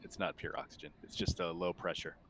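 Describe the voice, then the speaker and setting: A man's voice: low voice, fairly even intonation, a little fast, noisy background. A man chatting casually as game master of a tabletop roleplaying game.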